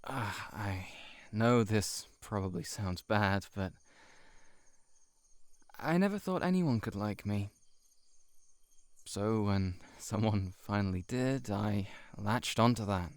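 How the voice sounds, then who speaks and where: A man playing a shy, heartbroken friend in an intimate audio roleplay, confiding haltingly to the listener. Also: lowish voice, dry recording. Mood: regretful, confessing. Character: shapeshifting monster posing as a shy, heartbroken male friend.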